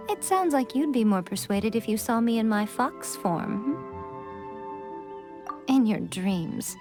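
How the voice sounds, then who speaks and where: A woman playing a sly, teasing fox spirit for a video game, composed and elegant, with coy, measured phrasing. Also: on the high side, lively intonation. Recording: music under the voice.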